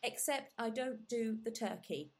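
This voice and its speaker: A woman's voice: fairly high pitch, lively intonation, measured pace, noisy background. Reflective woman podcast host. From England. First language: Gujarati. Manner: warm, reflective, many fillers and restarts. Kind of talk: casual conversational interview.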